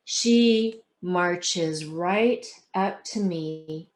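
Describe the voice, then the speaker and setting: Slow-paced woman reading and talking. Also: on the low side. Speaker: children's book author. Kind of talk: picture-book read-aloud and conversation.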